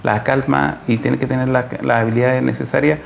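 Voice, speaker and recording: A Chilean man, a social worker guest, explaining conversationally in a measured way, pausing to find words. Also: mid-range voice, level tone, gravelly. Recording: faint background noise.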